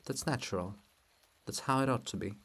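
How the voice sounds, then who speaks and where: A man voicing the bronze monument of a weary old poet, measured and dry. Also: medium pitch, a bit bright, voice pushed down, lightly acted. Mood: weary, wry.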